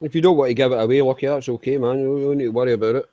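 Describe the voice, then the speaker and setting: A man's voice: medium pitch, fairly even intonation, very fast, warm tone, no echo, highs cut off. A man hosting a casual online group chat, laughing often and teasing the others. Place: online voice hangout.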